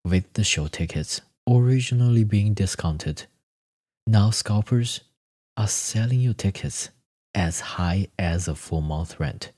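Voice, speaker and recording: A man narrating a scripted second-person story in measured, deliberate phrases. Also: low voice, a little mellow.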